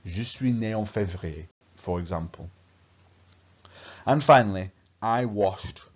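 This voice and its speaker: A man's voice: on the low side, takes it slowly, muffled recording. A man from England, a French teacher, talking students through a lesson over slides, patient and step by step, asking the same guiding questions again and again.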